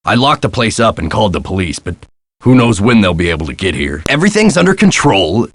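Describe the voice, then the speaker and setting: An actor playing a gruff, put-upon castle hotel caretaker in a video game, with a folksy, sardonic and exasperated way of talking. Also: male voice, lowish voice, sing-song, fast, a bit bright, dry recording, exaggerated voice. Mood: harried. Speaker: caretaker and front desk clerk. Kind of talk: scripted video game character lines.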